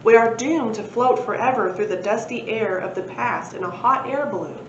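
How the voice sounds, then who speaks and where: A woman's voice: a little high, moderate pace, lightly acted. A woman voicing a hapless, dramatic father telling his adventure in a storybook, recorded in a roomy space. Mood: despairing. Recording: roomy, dull lo-fi, faint noise.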